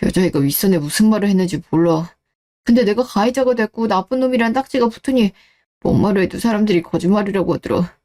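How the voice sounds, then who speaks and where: Woman playing a pleading husband, soft dark tone. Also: mid-range voice, lively intonation, quick pace, natural voice. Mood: evasive, ashamed. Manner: pleading, self-justifying. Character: pleading, defensive disgraced husband, evasive and desperate. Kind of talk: radio-drama story dialogue.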